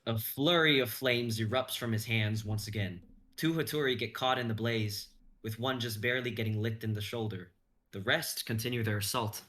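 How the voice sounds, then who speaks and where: A man's voice: mid-range voice, moderate pace, a bit bright. A young man reading story narration aloud in an intense, suspenseful manner. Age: young adult. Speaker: stream host and narrator.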